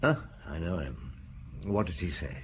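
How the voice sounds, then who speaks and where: An actor playing a seasoned, genial middle-aged police inspector in a radio drama, conversational, wry and musing. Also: male voice, low voice, sing-song, a little slow, noisy background. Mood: attentive, courteous.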